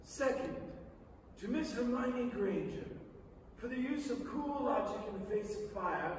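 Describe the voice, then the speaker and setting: A middle-aged man giving a dramatic staged reading of a children's novel, with emphatic delivery and long pauses. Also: extremely high pitch, expressive. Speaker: stage reader. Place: large theatre stage, indoors. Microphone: far camera in the audience. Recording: echoey theatre hall, distant mic, noisy.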